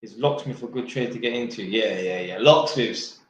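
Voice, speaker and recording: A man's voice: on the low side, normal speed, a little hiss or hum. A man reads out viewer questions and answers them bluntly in casual, slangy chat, swearing freely and joking. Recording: very echoey room.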